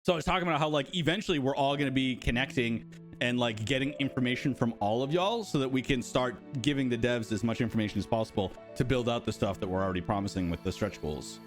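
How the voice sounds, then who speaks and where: A man's voice: mid-range voice, fast, soft background music. A man hosting a casual live stream for a game studio, chatting and answering viewers' questions. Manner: chatty, rambling, talks to chat.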